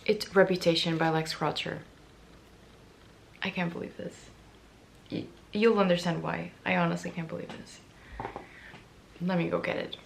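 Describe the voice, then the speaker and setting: A young woman chats casually to camera about her reading, her lowish voice raspy and gravelly after a night of yelling. She stumbles over and repeats words as she goes, and pokes fun at herself. A faint hiss sits under the recording. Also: little pitch movement, moderate pace, pauses often. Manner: chatty, repeats words, self-mocking. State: hoarse from yelling. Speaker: book vlogger. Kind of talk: casual vlog chat.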